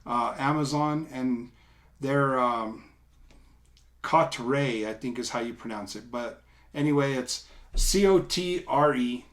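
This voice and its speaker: A middle-aged man giving a casual, unscripted product demo, explaining step by step in an informal, halting way. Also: mid-range voice, fairly bright tone. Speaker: product reviewer. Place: small indoor room, seated at a table.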